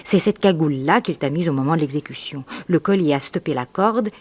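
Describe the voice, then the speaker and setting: Low-voiced scheming gangster's moll. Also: female voice, fairly quick. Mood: amused, scheming. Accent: French. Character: scheming gangster's mistress, devoted, seductive and ruthless. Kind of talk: 1960s French radio drama acting.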